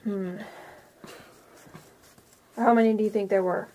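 A woman's voice: mid-range voice, steady tone, a little slow, warm tone, a little hiss or hum. A woman improvising narration as game master in a casual, hesitant way.

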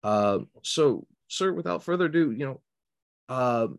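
A man's voice: mid-range voice, expressive, a little slow, creaky. A man hosting a podcast in casual interview chat, with polite, joking banter. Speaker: podcast host.

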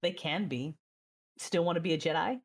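A woman's voice: lowish voice, moderate pace, breathy edge. A woman acting as an intense, passionate Jedi knight, narrating her own story in a confessional, introspective audio drama style. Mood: teasing, tender. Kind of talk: first-person audio drama narration with dialogue.

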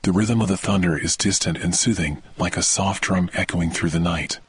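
Low-voiced man guiding sleep meditation over thunder. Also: slight background noise. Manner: soothing, measured delivery. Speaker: meditation podcast host. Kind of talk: scripted guided sleep meditation. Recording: thunder ambience under the voice. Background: thunder rumbles.

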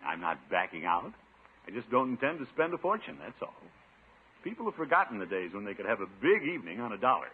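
An actor playing a pompous, blustery, thrifty middle-aged uncle in a chuckling, theatrical 1950s radio sitcom style. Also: male voice, on the low side, big pitch swings, fast. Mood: self-righteous, boastful. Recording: noisy, phone-like old radio recording.

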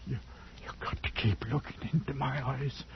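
An actor playing a haunted, deranged British ex-soldier, halting and dazed, speaking roughly in 1940s radio drama style. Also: male voice, mid-range voice, fairly even intonation, natural voice. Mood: dazed, broken. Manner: halting, dazed, uneducated speech. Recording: muffled, noisy 1940s radio recording.

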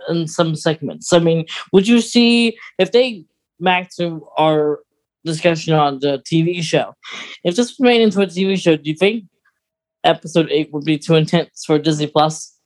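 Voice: medium pitch, expressive, moderate pace, poor audio. A podcast co-host chatting casually and emphatically about opinions, in an informal conversational way. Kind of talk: casual chat, opinionated film and radio drama discussion.

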